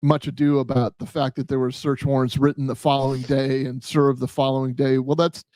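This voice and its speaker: A man's voice: mid-range voice, little pitch movement, brisk, a little mellow, no echo. Blunt man in a close, dry recording. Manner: blunt, plain-spoken, opinionated. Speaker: podcast co-host with police background. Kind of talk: casual true-crime panel discussion.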